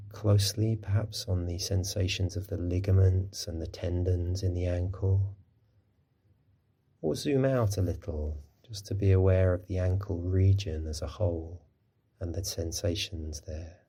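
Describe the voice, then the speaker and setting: A man gently guiding a body scan meditation as a mindfulness teacher, in a soothing, unhurried manner. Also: low voice, husky.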